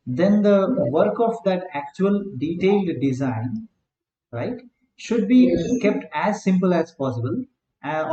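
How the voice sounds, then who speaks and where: A man with a fairly high voice lectures a class and keeps asking "right?" as he goes. He often repeats himself and slows down to dictate notes word by word. The sound is dull and lo-fi, and it echoes around the room. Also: warm tone.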